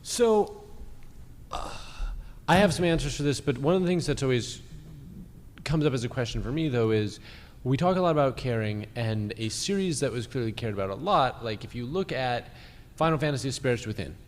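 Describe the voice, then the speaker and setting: A man on a live podcast panel, explaining in a measured, emphatic way, recorded in a convention hall. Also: lively intonation. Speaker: guest panelist. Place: large indoor convention panel room with live audience. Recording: echoey hall with clear noise, rumble.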